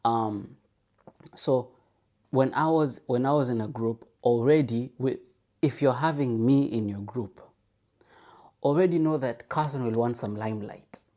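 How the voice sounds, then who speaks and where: Man, mid-pitched, mellow tone, reflective podcast host, conversational, repeats himself for emphasis, rhetorical questions. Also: unhurried. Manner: thinks aloud, repeats phrases for emphasis, asks rhetorical questions. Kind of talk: casual reflective solo monologue.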